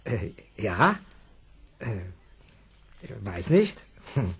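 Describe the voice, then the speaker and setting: A man playing a simple-minded, childlike hotel servant in a children's radio play, halting and repeating his words with a stammer. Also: mid-range voice, sing-song, a bit bright, breathy edge, full character voice. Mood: slow, confused.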